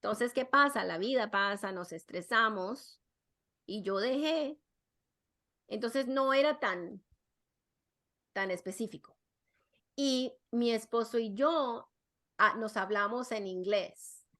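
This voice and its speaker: A woman's voice: a little high, slow pace, long pauses, sharp tone. A middle-aged woman, a psychologist and podcast guest, talking casually and often restarting and repeating her words. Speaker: guest, clinical psychologist and parenting expert.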